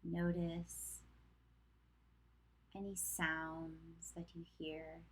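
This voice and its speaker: Calm woman yoga teacher doing guided meditation, really slow, flat even tone, gentle cues w long gaps. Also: fairly low pitch, breathy edge, poor audio.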